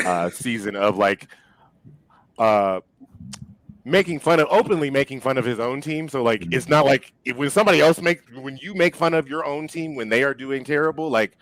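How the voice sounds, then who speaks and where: A man guesting on a podcast, chatting casually in a halting, wry way. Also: medium pitch, animated, a bit bright, no room sound, poor audio. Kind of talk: casual chat.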